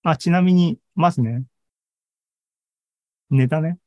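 A man's voice: fairly high pitch, slow, a little mellow, no echo. A man giving an informal tech presentation in an online session, talking conversationally with frequent little tags and asides. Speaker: presenter at an AI hobby forum and podcast host. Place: online Zoom live session.

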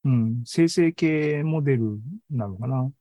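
A man hosts a casual online presentation in a mid-pitched voice. He speaks haltingly, thinking aloud between points. The sound is dry, with little room in it. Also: warm tone, no room sound. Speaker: podcast host and tech presenter. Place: online video meeting talk, dry close sound.

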